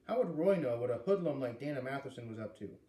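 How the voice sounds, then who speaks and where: Man voicing an emphatic, excitable mother, roomy. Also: medium pitch, close to an everyday voice. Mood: dismissive. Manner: emphatic, quick to interject. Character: excitable, protective mother of a schoolboy. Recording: roomy, distant mic with light noise.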